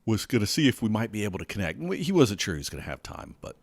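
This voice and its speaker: A man's voice: lowish voice, sing-song, rapid-fire. A man hosting a podcast, talking casually as he gets over a cold. He rambles and stumbles over his words, often repeating himself. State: recovering from a cold. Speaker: podcast host. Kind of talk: casual solo podcast talk.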